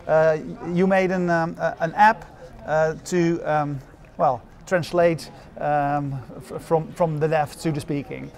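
An older man interviewing a guest outdoors at an event, halting with many fillers and restarts. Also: fairly high pitch, fairly even intonation, darkish tone. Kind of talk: casual festival interview. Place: outdoor courtyard at a busy event.

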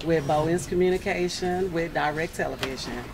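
A woman's voice: low-pitched, moderate pace. A woman speaking casually and agreeably as an interview guest in a large indoor show hall. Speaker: interview guest, satellite TV dealer. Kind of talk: casual unscripted chat. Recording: busy exhibition hall, crowd behind.

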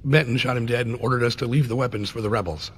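An actor playing a stoic former Army Ranger and special agent with grave, clipped delivery in a video game. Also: male voice, mid-range voice, steady tone, quick pace, a bit bright, slightly rough. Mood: grave testimony. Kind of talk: scripted game cutscene acting. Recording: game audio with background noise.

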